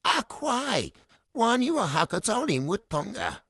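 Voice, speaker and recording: A woman's voice: on the high side, big pitch swings, measured pace, creaky, a bit bright, slightly rough, dry recording, clean studio sound, exaggerated voice. A woman playing a dramatic, demanding boss with a pompous, theatrical manner in a comedic radio drama. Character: dramatic, demanding boss who talks in abstract riddles.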